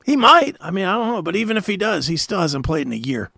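A man's voice: sits high, big pitch swings, quick pace, gravelly, sharp tone, no echo. A middle-aged man co-hosting a talk show, bantering casually about sports in a blunt, argumentative, opinionated way.

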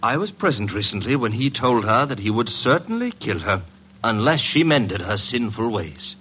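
A man's voice: mid-range voice, big pitch swings, moderate pace, sharp tone. A man playing a self-important, easily flustered stage actor in an old-time radio drama, with affected, florid delivery. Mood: confiding, dramatic. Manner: affected, florid, hesitant under pressure. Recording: noisy old radio recording.

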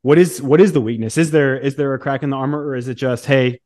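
A man's voice: medium pitch, quick pace, darkish tone. A man co-hosting a casual sports interview and putting questions to a guest. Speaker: co-host, interviewer.

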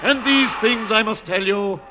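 A pompous Roman conqueror orating to his people in an old radio play. The voice is high for a man and very slow, declamatory and commanding, under loud noise. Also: a loud crowd. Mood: solemn, grand. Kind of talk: classic radio drama oratory.

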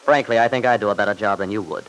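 A man playing an unyielding young father in an old-time radio play. His voice is fairly low and he talks briskly, staying polite and earnest while holding firm. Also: fairly bright tone, lightly acted, low-quality recording. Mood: defiant.

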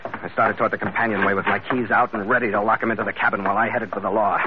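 An actor playing a tough, wry captain, narrating and speaking tersely in a hardboiled vintage radio drama. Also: male voice, fairly low pitch, fairly even intonation, racing, lightly acted. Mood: tense, matter-of-fact. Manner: terse, sardonic. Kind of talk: 1940s-50s radio noir narration and acting. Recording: muffled vintage radio recording.

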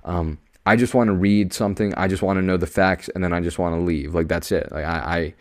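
A young man with a deep, projected voice giving a casual podcast monologue in an emphatic, matter-of-fact, know-it-all manner. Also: fairly even intonation, a little fast. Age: young adult. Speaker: podcast host.

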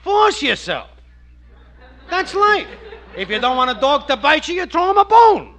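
An actor playing a brash, nosy neighbor in an old American radio comedy, with an American accent and slangy, wisecracking delivery. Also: male voice, very high-pitched, sing-song, unhurried, a bit bright, exaggerated voice. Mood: urging, worldly-wise. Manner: wisecracking, emphatic, working-class slangy speech. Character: brash nosy male neighbor and pal, know-it-all schemer. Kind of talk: 1940s-50s radio comedy acting.

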